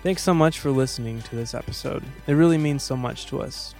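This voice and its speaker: A man co-hosting a podcast, reading a scripted sign-off with a deadpan, joking manner. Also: mid-range voice, a little fast. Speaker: podcast co-host and composer. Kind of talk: light scripted podcast outro with dry jokes. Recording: music bed behind voice.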